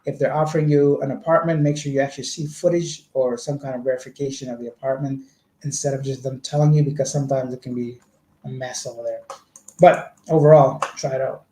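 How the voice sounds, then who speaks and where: Mellow-voiced man in an echoey room. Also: mid-range voice, steady tone, brisk. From Canada. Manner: chatty, rambling explanations. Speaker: vlogger. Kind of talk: livestream, answering viewer comments. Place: indoor home room.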